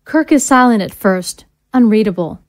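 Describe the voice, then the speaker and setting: A woman's voice: fairly high pitch, lively intonation, moderate pace, no echo, clean studio sound. A woman narrator reading scripted scene directions for an audio drama.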